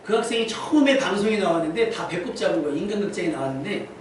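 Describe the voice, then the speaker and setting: A high male voice rings through a heavily echoing church, caught at a distance from the mic. He is a pastor delivering a sermon, asking the congregation questions and repeating key phrases to drive them home. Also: lively intonation, very fast. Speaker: pastor preaching. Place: indoor church service. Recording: very echoey church hall, distant mic, faint noise.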